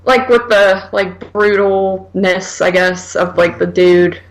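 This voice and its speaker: A woman's voice: mid-range voice, fairly even intonation, slow, a crowd in the background, rough recording. A woman co-hosting a horror podcast, chatting casually and slangily about films, saying "like" constantly. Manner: slangy, heavy use of "like". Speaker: horror film podcast co-host. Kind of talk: casual film review chat.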